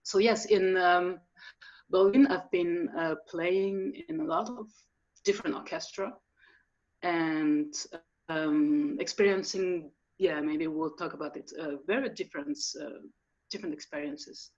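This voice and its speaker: A woman's voice: lowish voice, unhurried, a little mellow. A woman from France, speaking English as an in a video call interview, hesitant, with many fillers and restarts. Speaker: guest musician. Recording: dull lo-fi audio.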